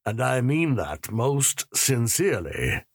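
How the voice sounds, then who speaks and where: A man voicing a smarmy, pompous middle-aged mayor who speaks slowly and deliberately. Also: medium pitch, big pitch swings, slightly rough, no echo, studio quality, voice pushed up, exaggerated voice.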